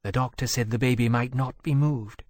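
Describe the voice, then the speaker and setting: A man voicing a composed young mother who speaks quietly and in a measured way. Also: medium pitch, steady tone, no echo, natural voice. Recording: dry close mic.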